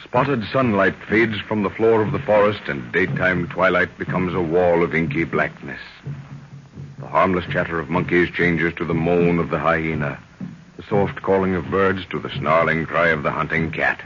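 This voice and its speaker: Man as ominous jungle serial narrator, very low voice, dramatic, 1930s radio, muffled recording. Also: moderate pace, noisy background, lightly acted. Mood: grave, portentous. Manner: portentous, florid.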